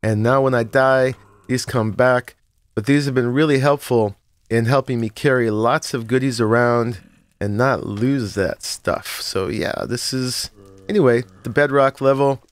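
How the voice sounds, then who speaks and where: Relaxed man with a froggy morning voice. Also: medium pitch, unhurried, no echo. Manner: relaxed, rambling, with frequent "uh" and short laughs. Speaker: Minecraft let's-play host. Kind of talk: casual gameplay tour narration.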